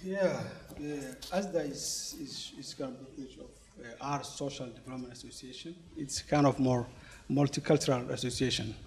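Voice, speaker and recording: Male interviewee from Somalia, 40s, mid-pitched and dark-toned, halting delivery, roomy hall sound. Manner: hesitant, many fillers and restarts. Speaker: interviewee, organization representative. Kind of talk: conversational interview. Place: conference venue, indoors. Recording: echoey room sound.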